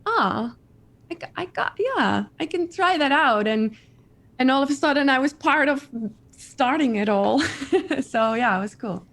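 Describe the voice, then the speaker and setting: A woman in her thirties from the Netherlands with a Dutch accent, chatting as an interview guest, lively and repeating her words. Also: sits high, unhurried. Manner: animated, repeats words, laughs often. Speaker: guest streamer. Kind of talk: casual interview chat.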